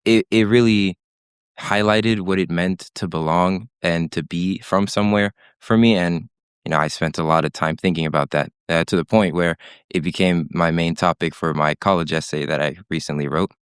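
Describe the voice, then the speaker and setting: A young man speaks hesitantly as a podcast guest, often pausing on "uh." His voice is very deep and smooth. The sound is dry and close. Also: no echo. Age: late teens. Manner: hesitant, many "uh" and "um" fillers. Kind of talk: casual chat.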